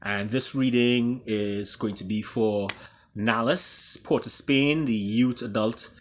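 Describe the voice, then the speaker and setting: A man with a Trinidadian accent, an author and storyteller speaking theatrically, with booming emphasis and hushed drops. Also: slow, a little hiss or hum. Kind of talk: dramatic storybook reading and introduction.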